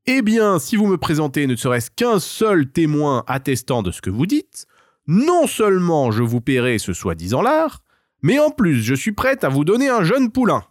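Man as a storybook mother hen, proud, dignified, theatrical, high-pitched, very animated delivery. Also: moderate pace, no room sound, clean studio sound. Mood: furious. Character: proud, clever mother hen, indignant and proper.

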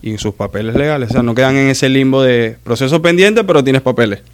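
Smooth-voiced man telling stories. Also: medium pitch. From Maracaibo, Venezuela. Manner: chatty storyteller, quick self-corrections. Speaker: guest, muralist. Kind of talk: casual interview chat.

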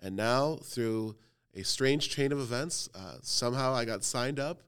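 A man's voice: medium pitch, unhurried, fairly bright tone, clear, resonant tone. A man in his thirties from Cleveland, a youth pastor talking casually on a podcast with lots of fillers and self-deprecating humor. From Cleveland, Ohio.

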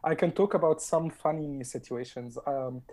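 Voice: medium pitch, normal speed, darkish tone. A speaker from Egypt with an Egyptian Arabic accent and Arabic as a first language, talking as a roundtable guest, hesitant with many fillers and a dry, sarcastic humor. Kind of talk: casual discussion.

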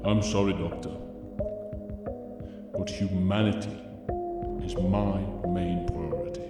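Man playing a slow, stern brigadier, huge echo. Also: sits low, fairly even intonation. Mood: cold resolve. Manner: slow, deliberate, authoritative. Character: stern, unyielding military brigadier, menacing and commanding. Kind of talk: audio drama acting. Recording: very echoey hall, loud music.

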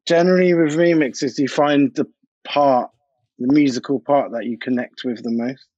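A man's voice: medium pitch, fairly even intonation, normal speed, darkish tone, dry recording, muffled recording. A man speaking as an interview guest in a casual, informal, slangy way. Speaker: DJ and music producer, interview guest.

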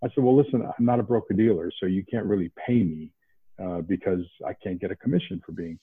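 A man from around Boston, a podcast guest, talking in a casual animated way with many restarts. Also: deep voice, fast, breathy edge, no echo. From New England, outside Boston. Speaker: podcast guest, investment banker. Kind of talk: casual interview talk. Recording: tinny phone-like line.

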